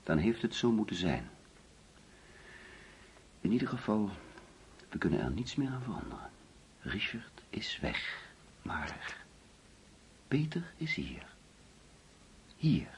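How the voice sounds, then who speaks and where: An actor playing an overbearing, jovial middle-aged father in a Dutch radio play, theatrical and teasing, with deliberate pauses. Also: lowish voice, sing-song, vocal fry, slight background noise, exaggerated voice. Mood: hushed, resigned. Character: overbearing, jovial father hosting an uneasy birthday party.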